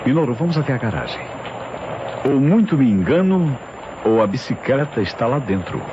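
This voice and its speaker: An actor playing a commanding, analytical police inspector in an old radio mystery, with a deliberate, theatrical delivery. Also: male voice, medium pitch, very animated, close to an everyday voice. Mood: investigating, decisive. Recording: muffled, hissy old radio recording.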